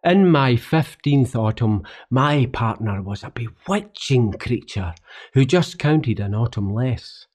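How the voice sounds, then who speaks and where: A man's voice: medium pitch, animated, slow pace, a little mellow. A man with a Scottish accent playing a poet who looks back wryly on his youth in a literary radio play monologue. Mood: wistful, wry.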